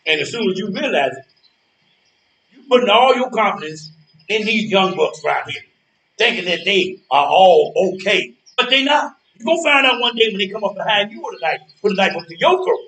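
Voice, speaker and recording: A man's voice: high-pitched, very animated, fairly quick, bright, forceful tone, slight background noise, heavily acted. An actor playing a blunt, cynical, streetwise man in a stage play, dismissive and emphatic with his old friend. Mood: grim warning. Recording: echoey, far from mic, birdsong.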